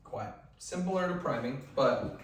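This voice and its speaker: Male dog trainer, loud voice, chatty and instructive; distant mic in a boomy room with light hiss. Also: expressive, slow, very echoey. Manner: instructive, conversational, drops to soft commands to the dog. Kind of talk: hands-on training demonstration.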